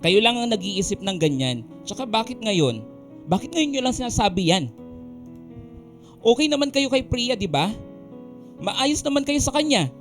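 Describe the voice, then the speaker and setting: A man playing an earnest, gentle young boyfriend in a Filipino radio drama. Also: very high-pitched, sing-song, normal speed, long pauses. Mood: pleading, defensive. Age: young adult. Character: devoted, gentle young boyfriend and dutiful nephew. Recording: radio drama with music behind.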